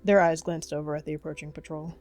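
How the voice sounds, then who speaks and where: Low-voiced woman narrating a story. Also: fairly even intonation, quick pace, a little mellow. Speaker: narrator and roleplayer. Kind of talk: scripted story narration, third person. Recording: music behind.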